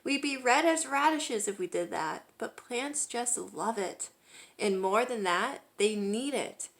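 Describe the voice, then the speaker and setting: A woman reading a children's picture book aloud as a storyteller, with expressive, emphatic delivery. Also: fairly high pitch, a little slow.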